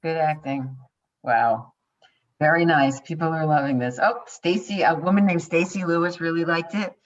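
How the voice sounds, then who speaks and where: A woman's voice: sits low, fairly even intonation, moderate pace. A woman co-hosting a virtual panel over a video call, enthusiastic as she reads out audience messages. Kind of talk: casual panel chat. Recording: dull lo-fi video-call audio.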